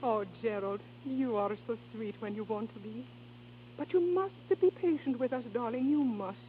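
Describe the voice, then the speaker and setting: An actress playing a temperamental, coaxing opera diva in a theatrical, impulsive 1930s radio style. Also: female voice, a little high, moderate pace, phone-quality sound, close to an everyday voice. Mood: tender, coaxing. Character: temperamental, coaxing opera diva, a woman devoted to her dog. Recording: thin, hissy 1930s radio recording.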